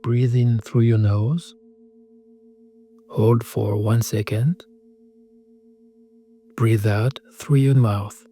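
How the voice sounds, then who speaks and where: A man narrating a guided meditation in a soothing, measured way, speaking calm scripted instructions in short phrases. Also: medium pitch. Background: singing bowl tones.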